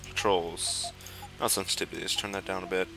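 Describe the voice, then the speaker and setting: A man with a fairly low voice comments casually on the video game he is playing. He is crude and sarcastic and swears freely. Game music plays clearly behind him. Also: flat delivery, a little fast. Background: video game music and sound effects.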